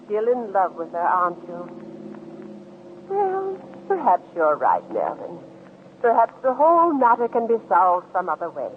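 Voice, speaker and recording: A woman playing a cold, scheming, middle-aged older sister in a 1940s radio drama, speaking in a clipped, measured, commanding way. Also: mid-range voice, wildly swooping pitch, phone-quality sound, slight background noise. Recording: band-limited old radio recording.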